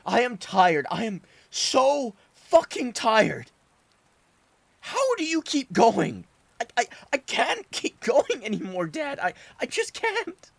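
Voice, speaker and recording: A man reading a story, voicing a tormented young man who stammers and shouts at his father through tears. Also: very high voice, wildly swooping pitch, slow, creaky, no room sound, pitched above the performer's own voice, full character voice. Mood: sobbing. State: crying. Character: tormented young man, broken, furious, sobbing son.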